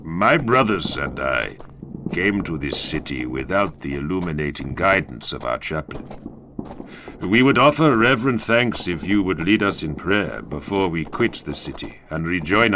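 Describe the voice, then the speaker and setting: A man voicing a respectful superhuman warrior, solemn, deliberate and ceremonious, in an audiobook. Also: deep voice, very animated, pushed and bright, a little hiss or hum, voice pushed down, exaggerated voice. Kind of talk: dramatized audiobook. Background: music.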